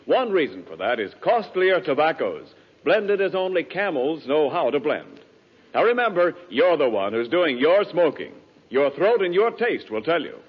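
A man's voice: fairly high pitch, very animated, normal speed, breathy edge. An American man reading advertisements as a 1940s radio announcer. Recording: muffled, reverberant 1940s radio recording.

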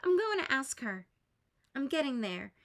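A woman's voice: high voice, big pitch swings, normal speed, fairly bright tone, heavily acted. A woman reading aloud, voicing an anxious, shy boy who talks nervously and wistfully.

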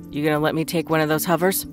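A woman's voice: low-pitched, vocal fry. A woman acting in an audio drama as a sceptical, practical worker with a casual, clipped way of talking.

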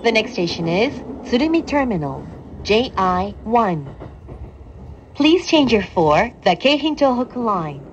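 A woman train announcer reading scripted station announcements in a calm, formal, deliberate manner. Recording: dull train PA with clear rumble. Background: train running noise.

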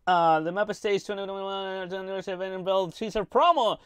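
Casual male streamer, slightly high voice, dry close mic, giggles a lot while rambling. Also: quick pace, a bit bright, clean tone, no echo. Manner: giggly, rambling, crude jokes, frequent laughter. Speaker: streamer reacting to anime trailers. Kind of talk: casual live-stream reaction chat.

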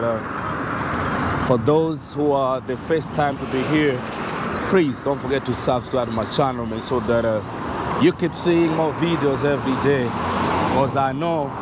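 A man, giving a casual walking-tour vlog by a busy road and talking to viewers, filmed with a camera held at arm's length. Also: medium pitch. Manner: casual, repetitive, addresses viewers as guys. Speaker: vlogger. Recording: loud street noise and traffic.

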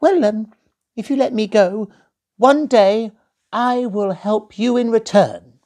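A man voicing a tiny, timid male mouse with a high, squeaky, trembling voice. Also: slow pace, a little mellow. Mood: pleading.

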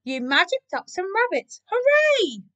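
A woman voicing excitable, teasing cats from a children's storybook, exclamatory and demanding. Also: sits high, fairly quick, muffled recording. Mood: amazed, cheering.

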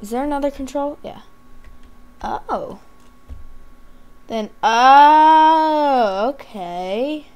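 A woman's voice: high voice, very slow, long pauses, darkish tone. A woman doing casual gameplay commentary, thinking aloud to herself, with a voice that is starting to give out. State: losing her voice, often clearing throat. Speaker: gamer hosting a gameplay video. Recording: background hiss, keyboard and mouse clicks.

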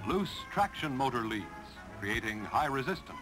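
An American man reading scripted 1950s instructional film narration in a clear, measured delivery. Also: medium pitch, big pitch swings, close to an everyday voice. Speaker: narrator of a railroad training film. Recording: 1950s film soundtrack with music behind.

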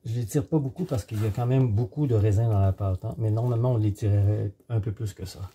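A middle-aged man giving a step-by-step cooking demonstration in a home kitchen, explaining in short, halting instructional phrases. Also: fairly low pitch, warm tone, slight background noise. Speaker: home baker presenting a recipe.

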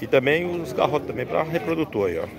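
A man's voice: fairly high pitch, big pitch swings, fast, creaky. A man vlogging casually from outdoor cattle pens at a livestock fair, filming on a handheld phone and talking straight to his viewers with repeated tag words. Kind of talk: casual vlog showing cattle. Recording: loud crowd noise behind.

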